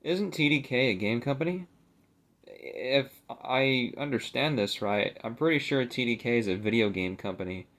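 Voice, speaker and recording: A man's voice: mid-range voice, lively intonation, moderate pace, a bit bright. A young adult man chatting casually and unscripted for a video, rambling and hesitant, with a self-deprecating manner. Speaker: video creator showing a collectible. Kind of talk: casual unscripted vlog chat.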